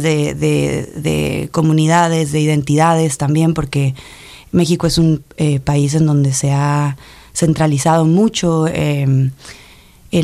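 A woman from Mexico City with a Mexico City Spanish accent, speaking as an interview guest in a reflective, thoughtful way with many pauses and restarts. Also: low-pitched, a little mellow.

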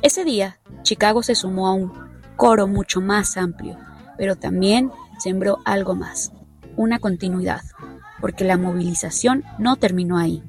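A woman reading a scripted news report narration in measured, short phrases. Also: mid-range voice, darkish tone, faint music behind.

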